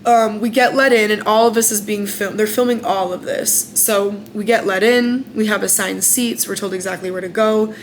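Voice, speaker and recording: A woman's voice: medium pitch, fairly even intonation, normal speed, hardly any pauses, a little hiss or hum. A young American woman candidly recounting her time as a singing contestant in a casual vlog, chatty, with lots of fillers. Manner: candid and chatty, many "like" fillers, frank opinions.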